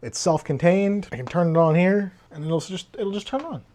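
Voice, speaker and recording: A man's voice: high-pitched, moderate pace, warm tone. A man giving a casual hands-on gadget review in a conversational, explanatory way. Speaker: tech reviewer.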